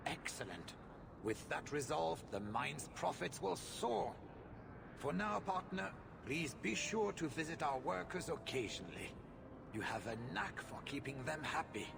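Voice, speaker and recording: A slightly high, creaky male voice, a haughty merchant in a fantasy game. He speaks formally and pompously, coldly polite and greedy. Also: very animated, a little slow, noisy background, full character voice. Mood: smugly pleased. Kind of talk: fantasy video game acting.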